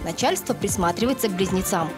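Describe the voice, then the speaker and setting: A woman reading a scripted horoscope voice-over in a bright, sing-song manner, over music. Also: medium pitch, animated, fast talker. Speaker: horoscope announcer. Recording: studio voice-over over loud music. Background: loud music.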